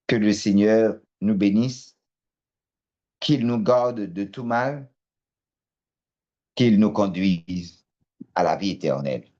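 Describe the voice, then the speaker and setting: Male priest, solemn and slow, lower-pitched, heard over a muffled lo-fi online call. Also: mellow. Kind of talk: liturgical prayer and blessing. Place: online video prayer meeting. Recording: dull, lo-fi online call audio.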